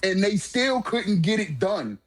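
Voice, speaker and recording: A man's voice: high-pitched, very animated, measured pace. A man in a casual manga discussion, talking in an emphatic, profane, slang-heavy way as a commentator.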